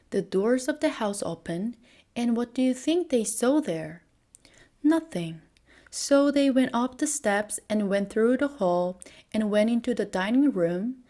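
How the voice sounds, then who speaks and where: A woman's voice: fairly high pitch, dark tone. Woman narrating a bedtime fairy tale. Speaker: storyteller.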